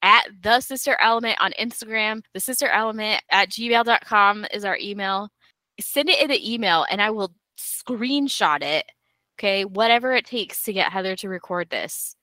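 Bright-voiced playful woman podcast host. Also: medium pitch, normal speed, no echo. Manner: playful, upbeat, laughs often. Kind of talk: casual chat.

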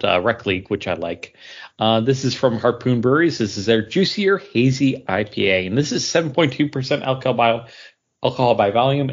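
A man co-hosting a podcast, chatting in a relaxed, easygoing way. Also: medium pitch. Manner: relaxed, easygoing, some restarts. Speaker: podcast co-host. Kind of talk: casual chat. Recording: dull lo-fi sound.

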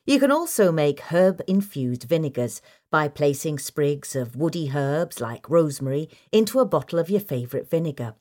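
A woman's voice: low voice, big pitch swings, moderate pace, few pauses, darkish tone. A woman reading a scripted instructional piece as a narrator. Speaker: narrator reading a gardening article.

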